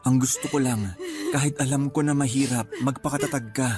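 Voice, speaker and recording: Guy acting a patient husband in a radio drama, earnest and reassuring, normal pitch, soft music behind. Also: fairly even intonation, fairly quick, natural voice. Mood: earnest, pained. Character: Oscar, patient, consoling, steadfast husband.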